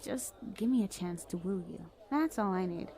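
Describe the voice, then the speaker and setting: Woman playing a flirty, creaky-voiced villainess. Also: medium pitch, lively intonation, moderate pace, dark tone, close to an everyday voice. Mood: lovestruck, coaxing. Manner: teasing, cajoling, conversational with hesitant stammers. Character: flirty, smug, lovestruck female villain pleading for a chance. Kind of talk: ASMR roleplay, addressing the listener as love interest.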